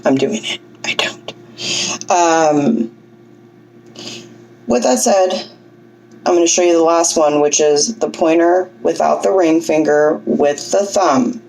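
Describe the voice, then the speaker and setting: Low-voiced woman coaching breath, a little distant. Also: slow, creaky, long pauses, darkish tone. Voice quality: low singing voice. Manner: chatty, self-deprecating, coaching with frequent "okay?" checks. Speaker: vlogger teaching breathing. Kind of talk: casual instructional vlog.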